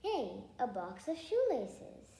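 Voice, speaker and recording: A woman's voice: a little high, sing-song, slow pace, gravelly, warm tone, exaggerated voice. A woman voicing an eager, earnest male pig for a storybook, drawing out his words. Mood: eager, admiring. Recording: echoey room, faint background noise.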